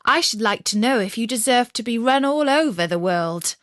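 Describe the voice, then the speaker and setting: Lady doing a cheeky bossy robber girl in a fairy tale, blunt, brisk. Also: a little high, expressive, fairly bright tone, dry recording, studio quality. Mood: brash, teasing.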